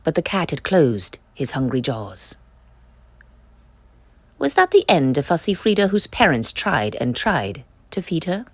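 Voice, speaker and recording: Female storyteller for children's books, unhurried, very animated delivery, dry close sound. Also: low voice, no echo. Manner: expressive, emphatic storytelling. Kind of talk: children's picture book read-aloud.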